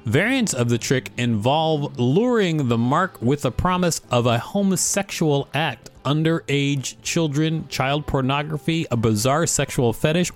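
A man co-hosting a podcast, reading an article aloud and chatting casually. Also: fairly high pitch. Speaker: podcast co-host.

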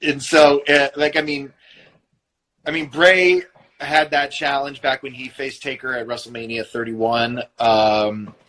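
A man's voice: mid-range voice, fairly even intonation, measured pace, fairly bright tone, rough recording. A young man co-hosting a wrestling podcast, talking in a rambling way with lots of restarts. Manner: rambling, many restarts and fillers. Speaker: podcast co-host. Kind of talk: casual wrestling chat.

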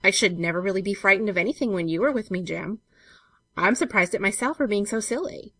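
A woman reading a story aloud, voicing an affectionate, nervy young woman in love with a light, expressive delivery. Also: medium pitch, no echo. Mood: startled, reassuring. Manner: light, expressive, quick to startle.

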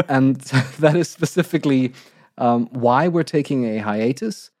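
A man in his thirties from Germany co-hosting a podcast, his voice slightly croaky from recovering from an illness, talking in an articulate, measured way and laughing often. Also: medium pitch, darkish tone, no room sound, clean studio sound. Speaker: podcast co-host. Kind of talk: casual podcast announcement.

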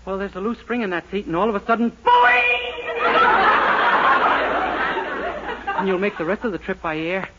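An actor playing a naive, boastful, earnest young small-town cab driver in a 1940s radio sitcom. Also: male voice, high-pitched, sing-song, fast, a loud crowd. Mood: eager. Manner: eager, guileless, cheerfully self-assured. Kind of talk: 1940s radio situation comedy acting. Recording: noisy, dull 1940s radio recording.

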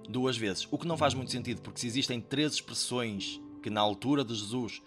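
A man's voice: mid-range voice, fairly quick, fairly bright tone, faint music behind. A man with a European Portuguese accent, hosting a podcast and explaining a Bible passage in a conversational teaching tone, speaking directly to the listener. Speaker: podcast host explaining scripture. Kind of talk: reflective teaching talk.

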